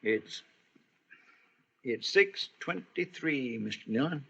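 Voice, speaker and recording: A man's voice: medium pitch, lively intonation, takes it slowly, gravelly. An actor playing a loyal, plain-spoken male deputy with a folksy, respectful drawl in an old-time radio western. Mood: uneasy, respectful. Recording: hissy, muffled old-time radio recording.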